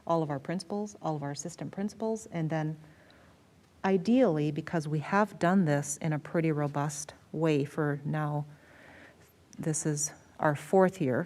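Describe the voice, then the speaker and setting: A woman's voice: low-pitched, moderate pace, darkish tone. An American woman, a school district superintendent, explaining things in a meeting presentation and repeating words for emphasis. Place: school board meeting room.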